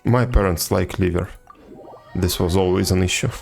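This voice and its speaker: Low-voiced man chatting on a game stream. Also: moderate pace, creaky, a little mellow. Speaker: video game livestreamer. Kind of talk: casual chat with viewers while gaming. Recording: game music behind the voice. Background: video game music.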